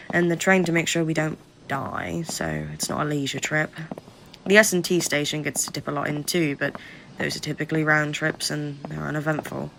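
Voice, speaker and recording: A woman's voice: low voice, gravelly, a bit bright, a little hiss or hum, low-quality recording. A woman playing a curt, condescending government researcher who briefs a recruit with blunt, sardonic impatience in an audio roleplay. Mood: matter-of-fact briefing. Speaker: researcher guiding a new recruit. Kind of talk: audio roleplay acting, addressed to listener.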